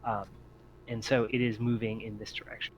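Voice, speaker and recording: Male game master, narrates tabletop action haltingly and piecemeal, muffled audio with slight background noise. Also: fairly low pitch, level tone. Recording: dull lo-fi audio, noisy background.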